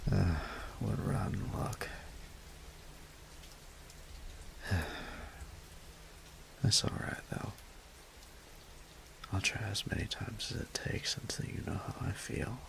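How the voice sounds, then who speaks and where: A man playing a sweet, lovestruck young man in a romantic audio roleplay, speaking tenderly and hesitantly in a breathy half-whisper. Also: deep voice, steady tone, takes it slowly, long pauses, fairly bright tone, full character voice. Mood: wry, affectionate.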